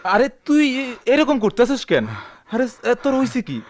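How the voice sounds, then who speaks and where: An actor playing a down-to-earth village friend in a radio drama, questioning in colloquial speech with a rural Bangladeshi accent. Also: male voice, extremely high pitch, very animated, unhurried, heavily acted. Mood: alarmed. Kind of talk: Bengali radio drama acting.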